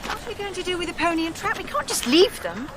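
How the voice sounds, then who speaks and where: An actress playing an anxious but spirited, well-bred Edwardian English wife in a period radio drama, questioning and quietly resistant. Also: female voice, very high-pitched, very animated, fast, very noisy. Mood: anxious, reluctant.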